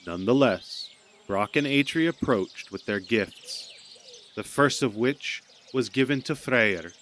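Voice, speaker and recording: A man's voice: mid-range voice, expressive, unhurried, a bit bright, a little hiss or hum. A man narrating a myth as a scripted storyteller in an audio drama.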